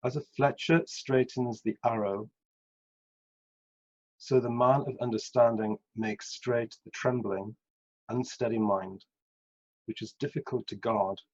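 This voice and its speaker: A man reading scripture aloud in a measured way. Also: on the low side. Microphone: video call over Zoom. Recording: dull, lo-fi video call.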